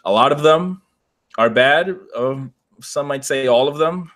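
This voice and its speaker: A man co-hosting a podcast in casual discussion, talking haltingly as he feels out each point. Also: medium pitch, fairly bright tone. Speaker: podcast co-host.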